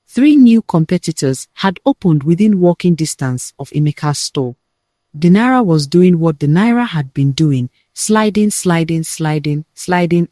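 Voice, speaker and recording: A woman narrating a scripted dramatic family tale as a storyteller, in deliberate, measured phrases with pauses for weight. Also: lowish voice, dark tone, no room sound.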